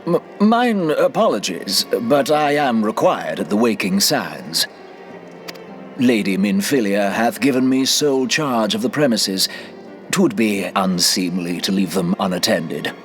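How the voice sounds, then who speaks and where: A man playing a solemn, courtly scholar of mysteries in a fantasy game, with formal, archaic, measured phrasing. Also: on the low side, animated, faint music behind. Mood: flustered. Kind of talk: fantasy game cutscene acting.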